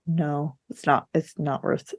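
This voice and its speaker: A woman's voice: low-pitched, level tone, slow pace. Candid, opinionated woman in casual conversation. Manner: candid, opinionated, laughs while talking. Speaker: podcast co-host. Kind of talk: casual book discussion.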